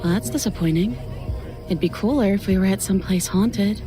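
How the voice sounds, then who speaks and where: A woman's voice: medium pitch, moderate pace, over music, natural voice. Female actor as a young partygoer, dry, unimpressed. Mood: disappointed. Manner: dry, let-down. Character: young woman party guest dressed as a fairy.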